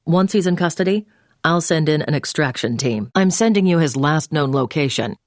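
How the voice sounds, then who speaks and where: An actress playing a brisk, commanding intelligence agent in a video game, giving mission orders in a businesslike, clipped way. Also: female voice, low-pitched, lively intonation, dry recording. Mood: businesslike, urgent. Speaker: mission handler over the phone. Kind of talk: scripted video game voice acting.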